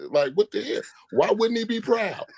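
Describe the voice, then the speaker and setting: Man, blunt mocking caller, bouncy pitch, dry close sound. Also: lively intonation, normal speed, creaky, no echo. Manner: blunt, mocking, swears freely. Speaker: caller on a live stream. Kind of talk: heated argument.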